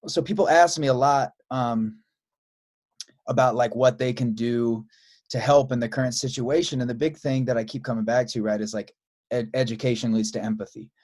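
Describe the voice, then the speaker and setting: A man with a medium-pitched voice hosts a casual podcast conversation. He speaks informally and searches for his wording, building questions piece by piece. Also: fairly even intonation, normal speed, darkish tone. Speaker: podcast host, musician.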